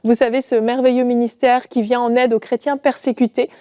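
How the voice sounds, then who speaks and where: A woman's voice: on the high side, flat delivery, brisk, no room sound, studio quality. A woman co-hosting a TV show, speaking casually with frequent "euh" fillers. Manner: warm thanks, filler "euh". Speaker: TV co-host. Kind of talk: casual studio chat. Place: TV studio.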